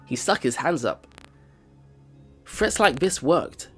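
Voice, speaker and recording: A man reads a story aloud as the host of a game playthrough. His medium-pitched voice is expressive and highly varied in intonation, with background music behind it. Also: slow pace, slightly rough. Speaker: let's play host. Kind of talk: reading visual novel narration aloud.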